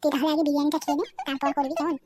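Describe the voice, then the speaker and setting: A woman voicing a brazen, lustful village sister-in-law in a comedy cartoon, with a high, teasing and coaxing voice. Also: level tone, racing, background noise, lightly acted. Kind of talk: Bengali comedy cartoon dubbing. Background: background music.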